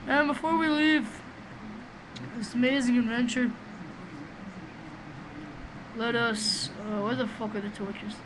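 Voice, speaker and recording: A man with a small, high voice giving rambling, profane, excitable commentary while playing a game, recorded on a webcam microphone. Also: slow, long pauses. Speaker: gamer doing a let's play. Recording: noisy home recording.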